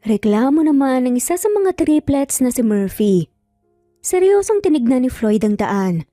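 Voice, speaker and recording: A Filipino-accented woman tells a story as scripted, dramatic narration. Her voice is soft-toned and on the high side, recorded dry with faint music underneath. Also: big pitch swings, moderate pace, dry recording.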